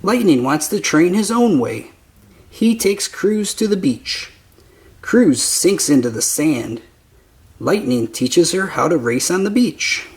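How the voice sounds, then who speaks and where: A man reading a children's picture book aloud as narrator, with simple, deliberate phrasing for young listeners. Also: fairly high pitch, animated. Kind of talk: slow scripted children's story narration.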